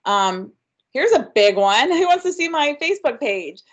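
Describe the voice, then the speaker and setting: A woman's voice: sits high, sing-song, moderate pace, highs cut off. A woman giving an upbeat, chatty webinar presentation with frequent ums. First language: English. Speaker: workshop presenter.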